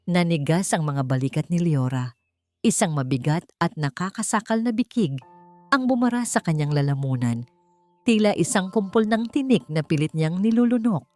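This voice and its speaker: A woman's voice: lowish voice, a little mellow. A woman narrator reading a scripted story in a dramatic storytelling style. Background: background music.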